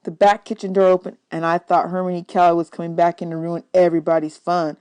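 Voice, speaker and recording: A woman's voice: lowish voice, flat delivery, quick pace, a little mellow, clear, resonant tone, no room sound. A woman reading a children's novel aloud as a storyteller. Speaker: audiobook reader, teacher.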